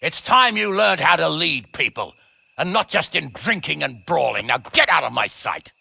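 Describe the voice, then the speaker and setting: Gravelly, blustering lord. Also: male voice, on the high side, sing-song, moderate pace, sharp tone, no room sound, exaggerated voice. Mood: stern. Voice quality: gravelly, rough. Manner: blustering, scolding, authoritative. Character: gruff, commanding older lord and stern guardian. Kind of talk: video game cutscene acting.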